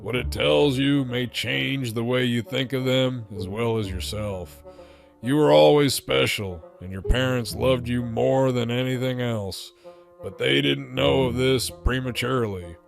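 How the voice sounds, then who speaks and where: A caring older-brotherly mentor in a cartoon dub, acted by a man. He is gravelly and gentle in an average register, with faint music behind him. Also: medium pitch, measured pace. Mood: solemn, caring. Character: kindly adult male mentor in a cartoon, solemn, caring.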